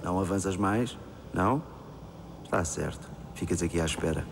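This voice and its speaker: A man's voice: low voice, normal speed, creaky, noisy background. A man with a European Portuguese accent playing a serene, grandiose genius tycoon in a comic drama, speaking formally and eloquently. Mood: calm. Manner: formal, self-satisfied, eloquent monologue.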